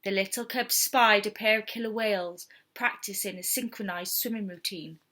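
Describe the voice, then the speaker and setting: A woman reading a story aloud to young children in an expressive, theatrical storytelling style. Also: mid-range voice, normal speed. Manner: theatrical, with whispers and sound effects.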